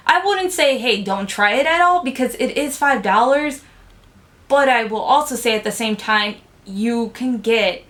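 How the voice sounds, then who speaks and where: A woman's voice: on the high side, fairly bright tone. A young woman chatting frankly to camera as she reviews cheap makeup, with lots of "like" fillers. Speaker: beauty vlogger.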